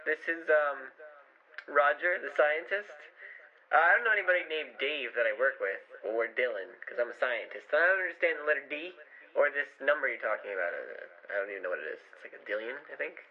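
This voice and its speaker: A man voicing a confused, rambling scientist who phones in, with a husky, mumbled voice. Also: medium pitch, lively intonation, fast, phone-quality sound, close to an everyday voice. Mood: goofy. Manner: rambling, mumbled prank-call voice.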